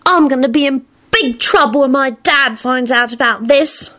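Storybook kid woman performing, stubborn and whiny, petulant, high-voiced. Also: moderate pace, sharp tone. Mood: gloomy sulk. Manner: plaintive, petulant. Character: sulky, stubborn young boy, whiny and defiant.

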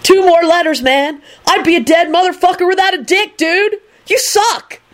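A woman's voice: extremely high pitch, moderate pace, voice pushed up. A woman voicing an irritable, slangy young dude in a story, whining and complaining. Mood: sulky. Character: irritable, aggrieved slangy dude, shrieking and sulky. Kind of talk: dramatised story reading.

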